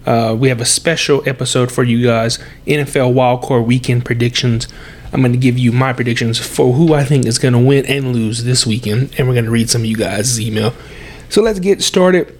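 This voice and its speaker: A man's voice: mid-range voice, normal speed. Congested man hosting a sports podcast. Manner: chatty, self-correcting, heavy breathing. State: congested with sore throat. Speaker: podcast host. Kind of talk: solo sports podcast predictions.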